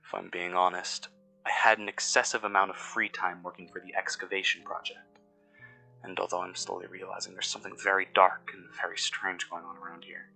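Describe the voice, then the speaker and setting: A low-pitched, uneasy and cynical excavation worker , played by a man, narrates a mystery audio log. The delivery is measured and brooding, pausing mid-sentence. Music plays behind the voice. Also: flat delivery, creaky, pushed and bright, breathy edge, exaggerated voice, low-quality recording. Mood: grim, resigned. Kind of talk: scripted first-person mystery narration.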